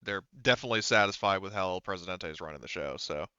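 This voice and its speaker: A man's voice: deep voice, fast, pushed and bright, clean tone, no room sound, lo-fi sound. A man running a tabletop game as game master, explaining rules in an informal, conversational way.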